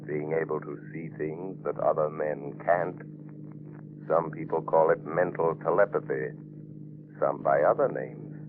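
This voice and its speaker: An actor playing an unseen, taunting avenger in 1930s radio drama, menacing and deliberate like a voice of conscience. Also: male voice, very deep voice. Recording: noisy 1930s radio broadcast, narrow band.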